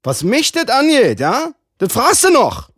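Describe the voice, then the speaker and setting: A man's voice: fairly low pitch, very animated, a little slow, no echo, clean studio sound, full character voice. A man doing a sneering, menacing brute. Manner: sneering, shouting, rough colloquial speech. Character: brutish jealous young man, menacing.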